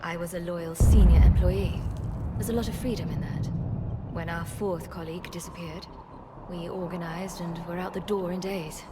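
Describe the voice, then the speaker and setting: An actress playing a former research scientist leading refugees, speaking in a measured, earnest way. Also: female voice, lowish voice, steady tone, very noisy, close to an everyday voice. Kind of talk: video game voice acting.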